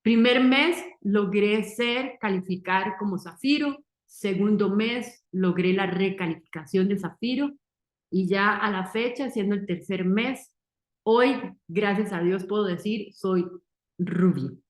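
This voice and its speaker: A Costa Rican woman in her forties with a Costa Rican accent, giving an earnest success-story testimonial in short, deliberate, emphatic phrases. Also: mid-range voice. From Costa Rica. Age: 40s. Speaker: marketing entrepreneur giving a testimonial. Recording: roomy echo.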